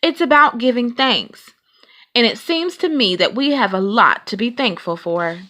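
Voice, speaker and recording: A woman voicing a gentle, wise mother bear from a storybook, soothing and gently lecturing. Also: on the high side, animated, moderate pace. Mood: consoling.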